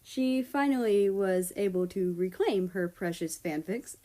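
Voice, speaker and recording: Woman reading fan fiction out loud, narrator style, medium pitch. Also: animated, unhurried. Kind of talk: scripted story narration with character voices.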